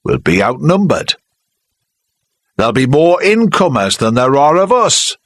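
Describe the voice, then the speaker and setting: A man's voice: medium pitch, very animated, unhurried, no room sound, voice pushed up. A man reading aloud, voicing a big, sneering village mechanic who mocks and shows off to a crowd. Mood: sneering, taunting. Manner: mocking, loud, taunting.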